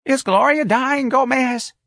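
A man's voice: very high-pitched, measured pace, fairly bright tone, no echo, clean studio sound, pitched above the performer's own voice, full character voice. A man voicing a feeble, confused, stubborn old woman with a tight-lipped voice in an audiobook. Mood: feeble confusion.

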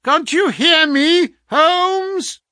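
A man's voice: high voice, very animated, slow pace, pushed and bright, dry recording, studio quality, voice pushed up, heavily acted. A man voicing a gloating, sneering villain in an audiobook story, with an insistent, mocking manner. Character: gloating, sneering villain visiting a sick enemy. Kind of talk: audiobook character acting.

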